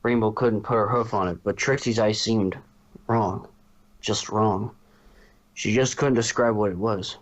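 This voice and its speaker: A man's voice: lowish voice, fairly even intonation, measured pace. A man reading a story aloud as its narrator. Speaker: fan fiction reader.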